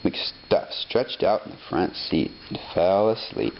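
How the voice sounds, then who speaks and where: A man narrating a children's story expressively, reading deliberately phrase by phrase. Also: sits low. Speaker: storybook reader. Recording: dull lo-fi sound, faint noise.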